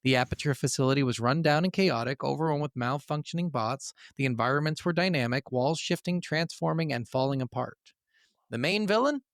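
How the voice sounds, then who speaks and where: A man's voice: a little high, brisk, no echo, studio quality. A man co-hosting a gaming podcast, moving between narration and casual, blunt, sweary chat. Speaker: podcast co-host.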